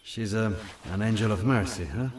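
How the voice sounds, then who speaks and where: A man's voice: on the low side, moderate pace, darkish tone, far from the mic, a little hiss or hum, close to an everyday voice. A man playing an affable partisan in a war video game, with a hesitant, amiable, drawling delivery. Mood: amiable, wry. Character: affable, halting male Italian partisan fighter. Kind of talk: video game cutscene dialogue.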